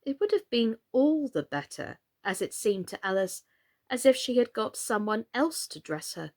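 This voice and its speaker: A woman reading a children's story as an expressive narrator. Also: on the high side, moderate pace. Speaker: storyteller and reader.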